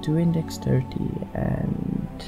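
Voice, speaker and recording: Slow, creaky-voiced man playing games. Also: fairly high pitch, fairly even intonation, rough recording. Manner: musing, thinking aloud. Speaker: gaming channel host. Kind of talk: casual let's play commentary with some read-aloud roleplay. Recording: game music behind the voice.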